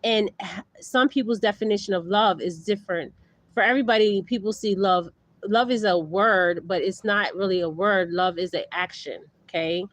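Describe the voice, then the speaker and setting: A woman hosting a live stream, talking in a relaxed, halting way with many fillers. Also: mid-range voice, no echo. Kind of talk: casual live stream chat.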